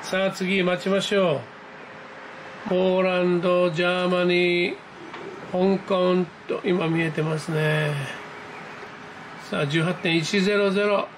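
High-voiced man narrating live over background noise. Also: little pitch movement, slow, long pauses, low-quality recording. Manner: exclamatory, reacting aloud as things happen. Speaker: amateur radio operator hosting his own video. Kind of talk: live hobby commentary, casual and excited. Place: indoors at a desk, filming a computer screen.

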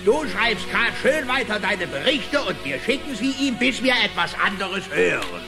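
An actor playing a pompous, greedy small-town sheriff who acts as judge in a children's cartoon radio play. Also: male voice, high voice, very animated, normal speed. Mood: bossy, pompous. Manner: pompous, domineering. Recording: echoey hall reverb, faint music.

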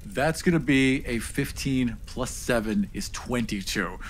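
A man's voice: mid-range voice, a little slow, background noise. A man at a tabletop game, casually reporting rolls and describing his characters.

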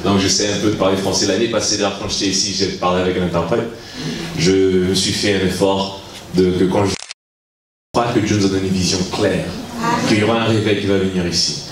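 A man in his thirties from Congo, preaching in halting French with many restarts, holding a handheld mic in a large church hall. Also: deep voice, fairly even intonation, background noise. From Congo (Kinshasa). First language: English is his stronger language. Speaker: guest preacher. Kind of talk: sermon to young people. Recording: echoey hall, mic heard from a distance.

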